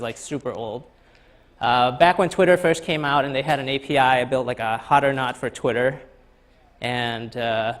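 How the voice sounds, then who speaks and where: Man from Thailand, thirties, conference talk, halting, lots of fillers, mid-pitched voice. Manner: halting, many uh fillers and restarts. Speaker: guest speaker. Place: lecture hall.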